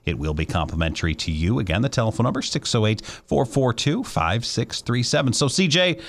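Animated American male show host. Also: medium pitch, a little fast. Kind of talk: broadcast hosting, promotional plugs and questions.

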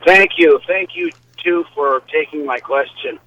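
A man nearly seventy calling in to a radio show, asking a halting question with restarts. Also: fairly high pitch. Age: 60s. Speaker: caller. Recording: phone-line caller audio.

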